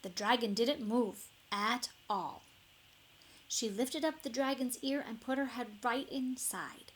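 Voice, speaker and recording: A woman reads a children's story aloud in a higher-than-average voice. Her narration is slow and expressive, leaning on key words for dramatic emphasis. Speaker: storybook reader.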